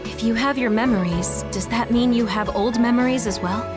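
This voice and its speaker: A woman's voice: fairly high pitch, normal speed, over loud music, close to an everyday voice. An actress playing a concerned, inquisitive young female comrade in an anime game dub.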